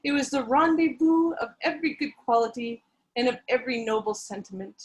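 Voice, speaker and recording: A woman's voice: a little high, fairly even intonation, a little fast, close to an everyday voice, poor audio. A woman reading the part of an overly sensitive young heroine who narrates her past in an earnest, melodramatic manner. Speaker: reader in a dramatic reading. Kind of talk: dramatic reading over video call.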